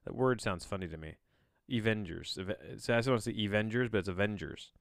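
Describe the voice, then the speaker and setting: A man hosting a solo podcast, chatting in a rambling, halting way, often backtracking mid-sentence with a bemused, self-deprecating manner. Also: lowish voice, vocal fry, dry recording, clean studio sound. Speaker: podcast host.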